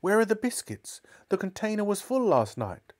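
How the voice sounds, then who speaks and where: A man's voice: sits high, very animated, normal speed, darkish tone, pitched above the performer's own voice. A man voicing a distraught, fierce mother in a story reading, emphatic and accusing. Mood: furious accusing. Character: distraught adult mother, fierce then broken.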